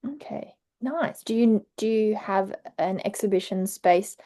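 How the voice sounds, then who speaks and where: A woman's voice: medium pitch, a little slow, dark tone. A woman with an Australian accent, hosting a podcast interview and asking friendly, curious questions. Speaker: podcast host. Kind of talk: casual interview chat.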